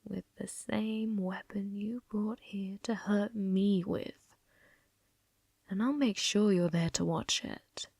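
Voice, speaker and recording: A woman's voice: medium pitch, fairly even intonation, slow pace, vocal fry, darkish tone, slightly rough, dry recording, full character voice. A woman playing a possessive young yandere in an ASMR roleplay, teasing and coolly threatening, often dropping into a whisper.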